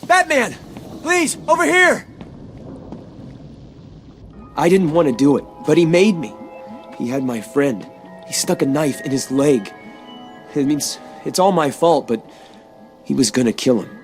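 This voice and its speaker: A man's voice: fairly high pitch, very animated, normal speed, faint music behind. A man playing a frightened, guilt-ridden civilian in a video game, anxious and earnest. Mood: desperate, guilty.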